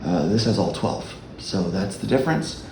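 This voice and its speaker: A man with a low voice giving a relaxed, halting dulcimer lesson as a worship leader. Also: echoey room, slight background noise. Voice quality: low speaking voice. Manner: unhurried, halting explanation. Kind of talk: casual music tutorial.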